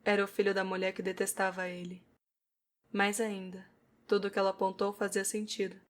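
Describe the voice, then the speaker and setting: Female narrator, Brazilian accent, scripted audio novel reading, mid pitch, steady flat intonation. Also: fairly quick.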